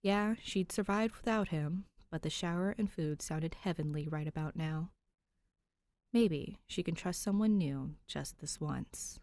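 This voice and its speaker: A woman's voice: deep voice, unhurried, a little mellow. A woman narrating fan fiction expressively from a script. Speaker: podfic narrator.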